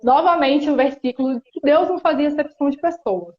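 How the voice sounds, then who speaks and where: A woman in her thirties from Espírito Santo, Brazil, with a Brazilian accent, speaking as a guest in an earnest, reflective chat with frequent pauses and restarts. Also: high-pitched. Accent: Brazilian Portuguese, Espírito Santo. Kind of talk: casual chat on a live stream. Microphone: phone or laptop on a video call. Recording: roomy, dull live-stream audio.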